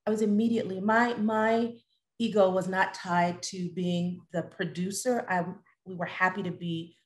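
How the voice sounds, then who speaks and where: A middle-aged woman hosting a talkback interview on a video call from a room at home. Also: lowish voice. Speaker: host, theatre artistic director.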